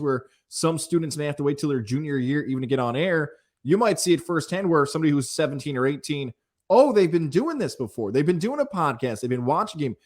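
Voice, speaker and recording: Higher-voiced American man hosting an interview. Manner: talkative, long lead-ins to questions. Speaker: podcast interviewer, host. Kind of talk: conversational interview questioning.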